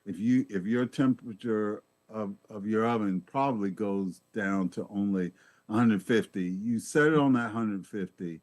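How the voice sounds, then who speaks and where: A man's voice: on the low side, darkish tone, no room sound. A man giving an informal health talk as guest presenter, folksy and explanatory, often repeating words as he thinks.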